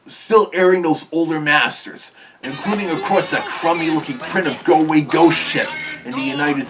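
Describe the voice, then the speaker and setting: Husky-voiced man narrating, mic set back. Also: medium pitch, a bit bright, distant mic. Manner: earnest, explanatory storytelling. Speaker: cartoon history video host. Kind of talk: scripted narration.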